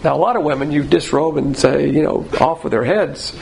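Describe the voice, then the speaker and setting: A man's voice: a little high, a little fast, creaky, a crowd in the background, low-quality recording. A man teaching a verse-by-verse Bible class, talking in a folksy, conversational way and repeating his points for emphasis.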